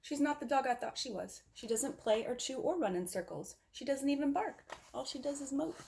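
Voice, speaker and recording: Storybook gentleman, played by a woman, polite, brisk, plainly spoken and decisive. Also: high-pitched, expressive, vocal fry, slight background noise, pitched above the performer's own voice. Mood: let down.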